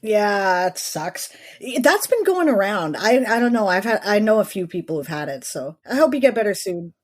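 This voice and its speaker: A woman's voice: medium pitch, sing-song, moderate pace. A woman co-hosting a podcast, chatting with enthusiasm and laughing easily. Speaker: podcast co-host. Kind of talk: casual podcast chat.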